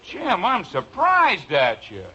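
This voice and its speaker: An actor playing a breezy, mock-innocent neighborhood pal in a 1950s radio sitcom. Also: male voice, high-pitched, wildly swooping pitch, takes it slowly, heavily acted. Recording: muffled old radio recording, noisy background.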